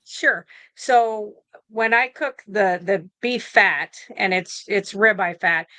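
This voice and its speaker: A woman's voice: medium pitch, slow, pushed and bright, dry recording. A woman in her fifties, a nurse answering questions in a casual video-call interview, rambling with fillers and restarts. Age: 50s. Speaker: guest nurse.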